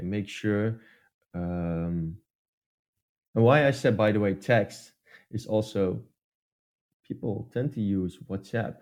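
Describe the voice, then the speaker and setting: A man's voice: lowish voice, creaky, dark tone. A man from the Netherlands with a Dutch accent, co-hosting a casual advice chat and talking hesitantly with many fillers and restarts. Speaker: podcast co-host.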